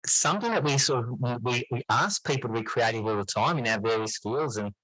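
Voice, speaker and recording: A man's voice: mid-range voice, animated, brisk, a bit bright, dry recording, low-quality recording. A man speaking as an interview guest in casual conversation, hesitant and rambling, often repeating words as he finds his point. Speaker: podcast guest, English teacher and writer.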